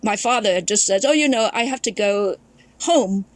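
A woman's voice: mid-range voice, expressive, moderate pace. A woman from Thailand, a podcast guest and memoirist, recalling her family history in a reflective storytelling way, often restarting her sentences. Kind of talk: casual conversation.

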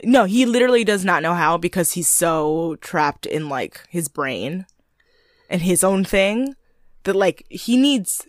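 A woman co-hosting a casual podcast, chatting and joking through a reality-show recap. Her voice sits fairly low but swings widely in pitch. The sound is dry and close. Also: expressive, unhurried, no echo. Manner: chatty, lots of "like" and laughs. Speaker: podcast co-host.

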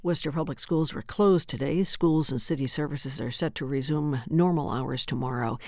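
A woman hosting a local radio newscast, reading stories in a steady, measured way. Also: low-pitched, no echo, clean studio sound. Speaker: local news host. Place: radio studio.

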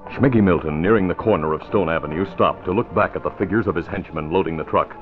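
A man narrates a vintage radio drama in a very deep voice. His delivery is scripted, in 1940s broadcast style. The sound is dull, with music behind him. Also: fast talker. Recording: muffled old radio recording.

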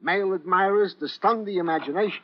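Florid sidekick, high voice, mock-formal, self-pitying, old radio comic relief, muffled. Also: male voice, quick pace. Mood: pompous. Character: fussy, florid, self-pitying male police sidekick with a cold. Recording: dull old radio recording.

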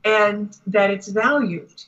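Husky American woman, measured explainer. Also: mid-range voice, a bit bright, lo-fi sound. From United States. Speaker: guest spiritual teacher. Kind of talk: conversational interview talk with anecdotes.

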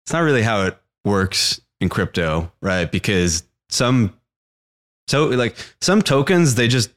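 A man's voice: lowish voice, expressive, unhurried, a bit bright. A young man co-hosting a podcast, explaining crypto in a casual chat, often checking in with "right?".

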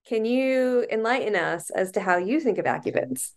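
A woman's voice: mid-range voice, lively intonation, moderate pace. A woman co-hosting a book podcast who talks in a thoughtful, meandering, self-aware way. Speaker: podcast co-host. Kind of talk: casual book discussion.

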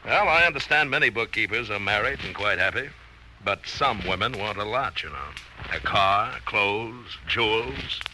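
A man playing a self-satisfied, patronising middle-aged businessman who lectures briskly in a 1940s radio drama. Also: lowish voice, expressive, sharp tone. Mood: condescending. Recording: noisy, crackly old radio recording.

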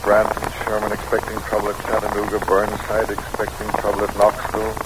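A man's voice: monotone, close to an everyday voice. Guy acting as a tired folksy american president, dry humor, thoughtful, old noisy radio play. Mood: reflective, dry. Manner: reflective, unhurried, drily humorous. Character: weary, wry, folksy American president, middle-aged man. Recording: noisy old radio recording, rumbling background.

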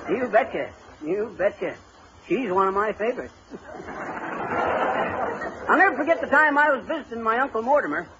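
A man's voice: extremely high pitch, expressive, a little slow, long pauses, a loud crowd. An actor playing a folksy, blustering husband who mangles his grammar and spins tall tales in an old-time radio comedy. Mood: boastful storytelling. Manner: folksy, bungling, chuckling braggart. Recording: muffled, noisy vintage radio recording.

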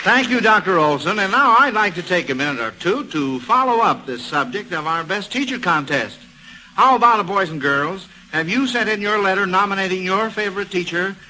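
A man with an American accent hosting a 1940s radio show, pitching to children in a folksy, eager salesman's manner on a vintage broadcast recording. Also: lively intonation, a little fast, a crowd in the background, noisy background. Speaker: quiz show host.

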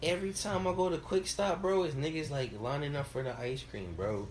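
A man's voice: a little high, fairly quick. A young man with a Philadelphia accent, hosting a casual podcast chat in laid-back slang. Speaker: podcast host. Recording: echoey room with background noise.

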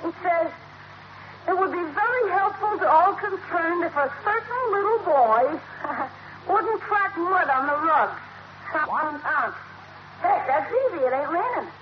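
Squeaky, cheeky young boy. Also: expressive, a little slow. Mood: reluctant, wisecracking. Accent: American. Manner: cheeky, slangy, whiny. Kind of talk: 1940s American radio comedy acting. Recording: muffled, very noisy old radio recording.

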